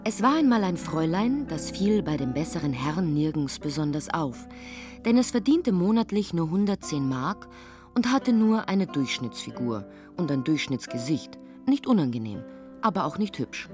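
A plain, good-natured young woman storytelling in a radio drama. Her voice is low for a woman and very expressive in pitch, over faint music. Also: normal speed. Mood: wistful, storytelling.

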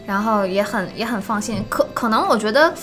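A woman hosting a casual live stream, chatty and expressive, often repeating words for emphasis. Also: medium pitch, fairly quick, soft background music. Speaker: live-stream host for an audio drama.

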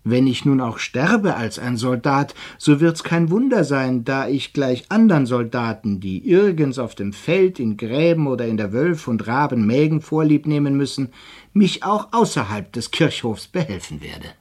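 An actor playing a roguish, godless musketeer in a German radio drama, with a husky voice and archaic phrasing. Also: male voice, mid-range voice, sing-song, moderate pace, darkish tone, dry recording. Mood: defiant. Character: roguish, godless musketeer recounting his wretched life. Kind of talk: German radio drama acting, archaic first-person narration.

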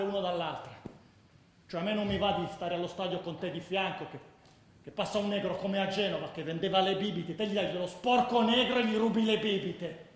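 An actor playing a combative, principled football ultra, speaking bluntly and colloquially in a radio play. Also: male voice, sits high, natural voice. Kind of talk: dramatised first-person monologue, radio play. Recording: very echoey room with background noise.

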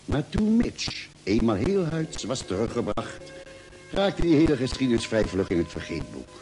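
An actor playing a spaceship doctor who narrates in a Dutch radio play, expressive and articulate. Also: male voice, medium pitch, normal speed, rough recording. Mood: earnest storytelling. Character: male spaceship doctor narrating his adventure. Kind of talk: scripted radio drama narration and dialogue. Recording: old radio drama with music.

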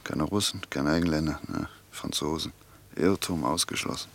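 An actor playing a stubborn, wry French prisoner of war on the run in a radio play, speaking huskily in an insistent, dryly mocking, colloquial way. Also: male voice, very deep voice, moderate pace, a bit bright, full character voice. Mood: dry mockery. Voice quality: husky.

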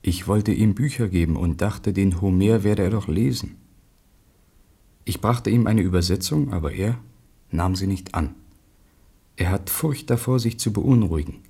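Low, husky, earnest witness. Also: male voice, moderate pace, mellow, heavily acted. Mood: concerned, sober. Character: earnest male acquaintance recalling a mad poet. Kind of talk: sober testimony in radio drama.

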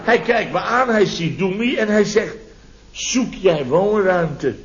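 A blustering contractor in his fifties, his voice on the high side. He is indignant and self-important, hammering his phrases again and again in old radio comedy style, on a dull vintage recording. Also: expressive, slow, noisy background, full character voice. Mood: exasperated. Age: 50s. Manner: repeats himself, exclaims, self-important. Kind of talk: 1970s radio comedy acting.

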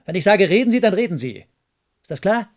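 An actor playing a brusque, imperious industrialist boss in a German radio play, speaking in domineering, clipped commands. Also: male voice, high voice, very animated, a little fast. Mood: commanding, irritated. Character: imperious male industrialist boss, brusque, demanding, devoted husband.

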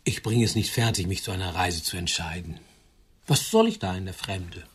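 Husky, courtly elderly suitor. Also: male voice, low voice, normal speed, a bit bright, exaggerated voice. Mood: earnest, pensive. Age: older. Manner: formal, old-fashioned politeness, hesitant. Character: elderly, lonely, courtly widower, earnest and awkward suitor. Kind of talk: radio drama acting.

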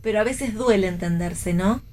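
An actress playing a defiant, wry young daughter in radio theatre, with an Argentine accent and a pointed manner. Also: female voice, medium pitch, moderate pace, a little mellow, natural voice. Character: defiant, wry young pregnant daughter standing her ground.

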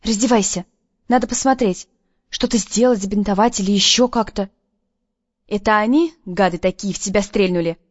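Man voicing a sharp-tongued young woman. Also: sits high, no echo, dull audio, voice pushed up. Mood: fussing. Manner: quick, sharp-tongued and emotional. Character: brash impulsive young woman captive. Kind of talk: audiobook reading.

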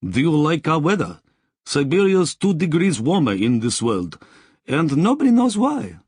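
A man voicing a cheerful female site worker with a Russian accent, bright and teasing. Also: on the high side, wildly swooping pitch, normal speed, breathy edge, dry recording, studio quality, voice pushed up, full character voice.

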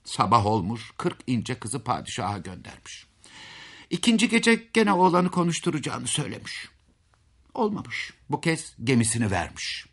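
Guy narrating a fairytale, super expressive, folksy little asides, bit raspy, mid pitch. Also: normal speed. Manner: dramatic, folksy, with interjections to the listener. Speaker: storyteller.

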